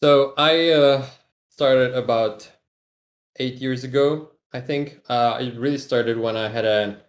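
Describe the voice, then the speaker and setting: A man's voice: mid-range voice, slow, reverberant. A young man from Europe, guest on a casual podcast interview, speaking with many hesitant fillers. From Europe, half Serbian half Czech. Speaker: guest, aircraft developer.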